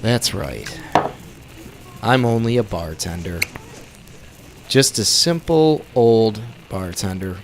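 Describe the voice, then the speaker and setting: An actor playing a young, slyly innocent speakeasy owner in a 1920s old-time radio drama. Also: male voice, fairly low pitch, expressive, slow, pauses often, a little hiss or hum. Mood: feigned innocence.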